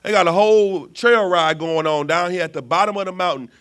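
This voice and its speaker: A man voicing God as an exasperated figure fed up with his people, ranting fast and loud in street slang. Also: high voice, clear, resonant tone, voice pushed up.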